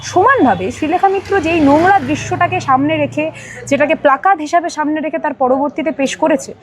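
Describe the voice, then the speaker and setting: A woman with a Bengali accent giving emphatic political comment as an interview guest, in long, repetitive sentences. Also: high-pitched.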